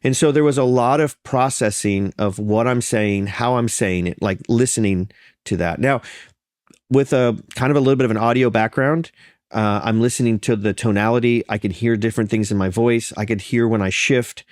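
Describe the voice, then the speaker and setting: A man's voice: medium pitch, normal speed, no echo, clean studio sound. Slightly congested man, earnest storyteller. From Florida. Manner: earnest, storytelling with frequent restarts and fillers. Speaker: pastor and podcast guest. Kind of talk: conversational interview.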